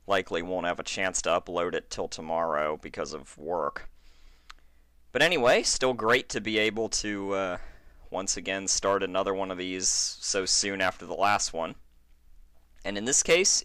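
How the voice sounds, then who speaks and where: A man's voice: deep voice, pushed and bright, clear, resonant tone, no echo, studio quality. A man who reads fan fiction aloud, chatting to listeners and narrating stories expressively. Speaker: amateur fan fiction reader. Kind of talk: chatty intro, then expressive story narration.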